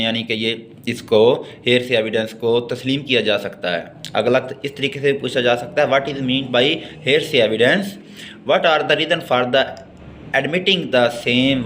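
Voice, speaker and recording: A man's voice: mid-range voice, a bit bright, a little hiss or hum. A man with a Pakistani accent giving a bilingual law lecture, reading out formal text and explaining it by repeating and rephrasing. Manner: explanatory, repeats and rephrases points, slow and halting when reading.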